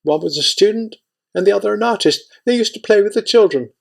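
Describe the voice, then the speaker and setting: A man's voice: high voice, expressive, fast talker, gravelly, voice pushed up, heavily acted. A man voicing an old Ukrainian village woman, kindly but bitter, speaking with pleading indignation.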